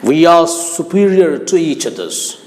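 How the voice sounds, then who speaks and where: Male religious lecturer, high voice with lively swings, emphatic and instructive. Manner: deliberate, emphatic, repeats phrases. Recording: long hall-like reverb.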